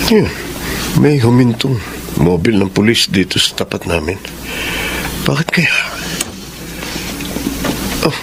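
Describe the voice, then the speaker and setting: A man's voice: medium pitch, expressive, takes it slowly, vocal fry, long pauses. An actor playing a wary, defiant man who stands up to intruders in a dramatic radio play. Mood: wary, suspicious. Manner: dramatic, confrontational. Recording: noisy radio drama with background ambience.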